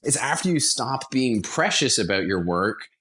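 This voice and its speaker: A man's voice: mid-range voice, sing-song, moderate pace, a bit bright. A man talking casually and enthusiastically as an interview guest, with emphatic turns of phrase. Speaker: podcast guest, theater founder.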